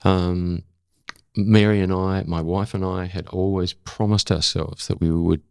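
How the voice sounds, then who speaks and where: Seventy-year-old Australian male, extremely low and creaky voice, reflective interview guest, close dry sound. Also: level tone, a little slow, a little mellow, no room sound. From South Australia. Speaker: interview guest, former broadcaster.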